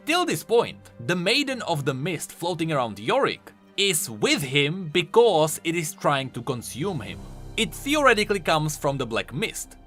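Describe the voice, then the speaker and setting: A man's voice: on the high side, sharp tone. A man giving emphatic, enthusiastic explanatory commentary on video game lore as a voice-over. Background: faint music.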